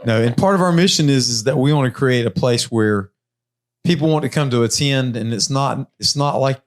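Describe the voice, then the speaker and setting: A man's voice: medium pitch, moderate pace. A man hosting a podcast interview, asking questions casually and affirming his guests. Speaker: podcast host.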